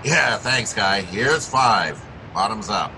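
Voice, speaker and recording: An actor playing a wry, wisecracking private detective in an old-time radio drama, with clipped, slangy delivery. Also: male voice, lowish voice, lively intonation, takes it slowly, vocal fry, sharp tone, slight background noise, heavily acted. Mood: impatient. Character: wisecracking, hard-boiled male private detective.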